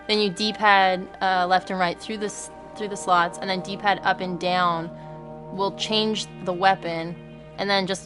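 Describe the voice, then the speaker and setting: A woman giving a candid, informal interview as a game designer while demonstrating, self-deprecating and mildly sweary. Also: lowish voice. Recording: clear music bed behind voice. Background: background music.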